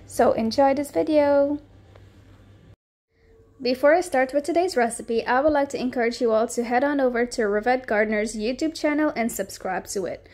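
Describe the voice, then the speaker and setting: A woman's voice: high-pitched, normal speed, darkish tone. A woman hosting her own cooking channel, giving a friendly, upbeat voice-over as she walks through a recipe step by step.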